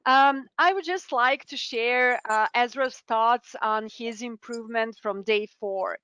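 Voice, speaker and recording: A middle-aged woman from Serbia with a Serbian accent, formally presenting an award ceremony as a debate teacher in a courteous, deliberate way. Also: on the high side, bright, forceful tone, clear, resonant tone.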